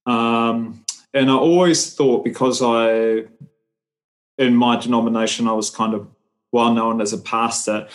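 A man from New Zealand, a guest in a remote interview, speaking thoughtfully with frequent pauses and fillers. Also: medium pitch, fairly even intonation. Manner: thoughtful, hesitant with many fillers. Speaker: guest, human rights advocate. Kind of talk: conversational interview.

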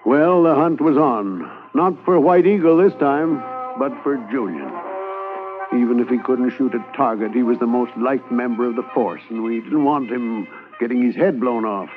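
An actor narrating as a Mountie who looks back on a manhunt in a reflective storytelling style. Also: male voice, mid-range voice, moderate pace, phone-quality sound, natural voice. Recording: thin old radio transcription with faint music.

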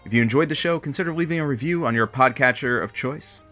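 A man's voice: mid-range voice, quick pace, bright, forceful tone, soft background music. A man reading scripted podcast credits as an announcer.

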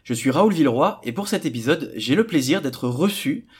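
A man's voice: fairly high pitch, expressive, moderate pace, husky. A man hosting an interview podcast on site in a ministry office, asking questions in a casual, chatty way. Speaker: podcast host.

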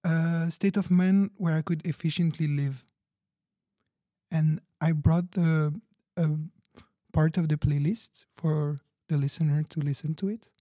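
A man playing a coolly logical, efficiency-obsessed lab worker in a fiction podcast, measured and analytical. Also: a little high, fairly even intonation, dark tone, no echo, clean studio sound, natural voice. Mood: earnest, analytical. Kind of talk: fiction podcast roleplay as interview.

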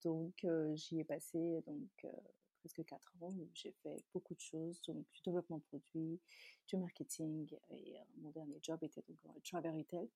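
A woman's voice: low-pitched, flat delivery, moderate pace, warm tone, breathy edge, no room sound, rough recording. A woman from Chad whose native language is Tazaga, answering interview questions conversationally in an explanatory way. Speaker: interview guest, beauty brand founder.

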